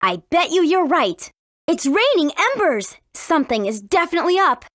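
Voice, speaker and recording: Spirited american teen girl princess from a video game, high lively voice, super dry studio sound. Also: normal speed, no room sound. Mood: earnest, explaining. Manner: expressive, emphatic delivery. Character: spirited, earnest, cautious teenage warrior princess. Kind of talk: English video game voice acting.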